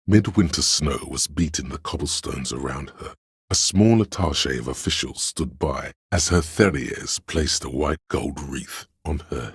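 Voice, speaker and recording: A very deep, gravelly scholarly narrator. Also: male voice, dry recording, clean studio sound, exaggerated voice. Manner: measured, grandiloquent, savoring words. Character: erudite, reverent inner voice of encyclopedic knowledge. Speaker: game voice actor. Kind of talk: dramatic scripted narration.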